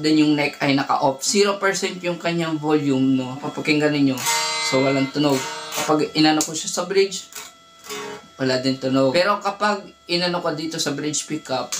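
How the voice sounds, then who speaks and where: A man's voice: fairly high pitch, fairly bright tone. A man giving a casual guitar gear demonstration, often tagging his phrases with a short check-in word. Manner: punctuates phrases with a tag word seeking agreement. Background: electric guitar playing through effects.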